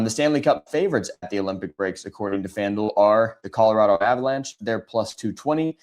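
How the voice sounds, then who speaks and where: Raspy, hoarse man hosting a sports podcast. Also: lowish voice. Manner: conversational, many filler ums. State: hoarse from shouting. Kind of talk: casual sports analysis.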